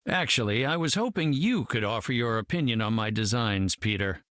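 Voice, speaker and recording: An actor playing an earnest, self-assured scientist hero in a video game, articulate and formal. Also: male voice, medium pitch, animated, moderate pace, fairly bright tone, dry recording, clean studio sound, close to an everyday voice. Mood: diplomatic. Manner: articulate, formal, matter-of-fact.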